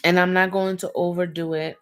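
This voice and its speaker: A woman with a raspy, slightly hoarse voice, talking casually and in slang as she gives an intuitive reading on a livestream, often checking in with her listeners. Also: fairly low pitch, flat delivery, a little fast. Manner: slangy, conversational, often seeking agreement with "right?" and "okay?". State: hoarse, going easy on throat. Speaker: livestream tarot reader, host.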